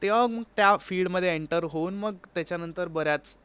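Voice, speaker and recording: A man's voice: sits high, a little fast, a bit bright, clear, resonant tone. A man, an interview guest explaining his experiences conversationally and mixing in English words. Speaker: interview guest, sitar player.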